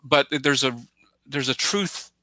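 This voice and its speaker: A man's voice: medium pitch, slow, pushed and bright, dry recording. A middle-aged man chatting casually about films in a rambling, emphatic way, slipping into imagined quotes, on a headset in a video call. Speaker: podcast guest commentator. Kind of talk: casual film discussion. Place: indoors, plain room, video call.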